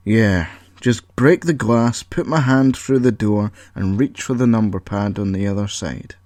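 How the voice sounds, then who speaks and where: A man's voice: on the low side, a little slow, darkish tone, no echo, lightly acted. Man acting a bitter, grumbling, sarcastic outcast. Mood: sarcastic, annoyed. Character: bitter, distrustful outcast male, sulky and sarcastic. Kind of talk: audio story character acting.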